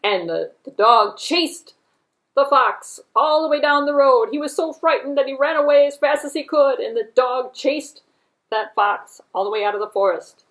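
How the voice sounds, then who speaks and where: A woman puppeteer telling a children's story in an animated, deliberate way, with repetition and conversational asides. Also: high voice, low-quality recording.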